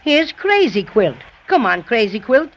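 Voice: mid-range voice, very animated, a little slow, fairly bright tone. A performer playing a small, spunky, wisecracking stuffed bear in a 1930s children's radio serial, plucky and given to dry complaints. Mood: wry. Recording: muffled, noisy 1930s radio recording.

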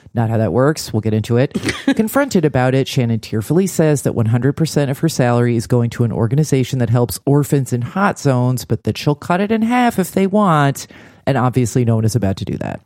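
A woman's voice: very deep voice, mellow, no room sound, clean studio sound. A woman hosting a podcast, recapping a TV episode in a blunt, sardonic, conversational style. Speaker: podcast host.

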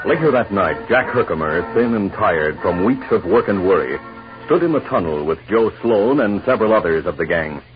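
A man's voice: lowish voice, lively intonation, normal speed. A man narrating a 1950s radio western in a formal, dramatic style. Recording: muffled old radio recording. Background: orchestral music.

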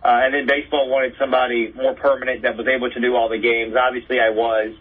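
A man's voice: mid-range voice, level tone, fast talker, breathy edge. A man who works as a play-by-play announcer, chatting as an interview guest over a dull remote connection. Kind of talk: conversational storytelling in an interview.

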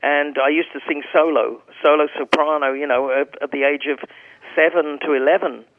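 A man's voice: a little high, steady tone, normal speed, no echo. A man in his seventies, a singer chatting as an interview guest, rambling through anecdotes with restarts. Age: 70s. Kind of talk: casual interview chat. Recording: phone-line audio.

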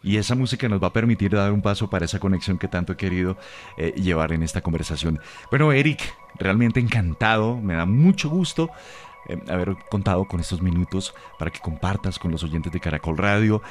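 A man's voice: low voice, fairly quick. A man from Bogotá, Colombia, with a Bogotá accent, hosting a radio interview and encouraging his guest with frequent short agreements. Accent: Bogotá Colombian Spanish. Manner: affirming, warm sign-off, frequent "ujú". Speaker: radio host.

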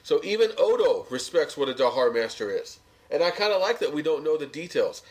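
A man's voice: a little high, very animated, fairly quick, darkish tone. An American man co-hosting a podcast, chatting casually through a review in an opinionated, sardonic way. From United States. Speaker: podcast co-host.

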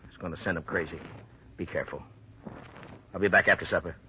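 An actor playing a gruff western local giving cautions in a 1950s radio drama. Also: male voice, low voice, fairly even intonation, quick pace, pushed and bright. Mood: gruff, cautioning. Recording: muffled old radio recording, faint noise.

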